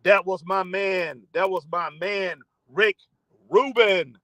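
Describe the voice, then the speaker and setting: Bright, high-voiced chatty man. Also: steady tone, slow pace, no echo, clean studio sound. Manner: rambling, chatty, reads viewer comments aloud. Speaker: live stream host. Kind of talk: casual live-stream chat. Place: in his car.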